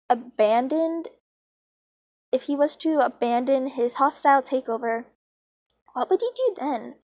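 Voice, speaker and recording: A woman's voice: high-pitched, no room sound. A woman reading a story aloud as an amateur narrator, informal and dramatic, with casual asides. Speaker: fan fiction reader.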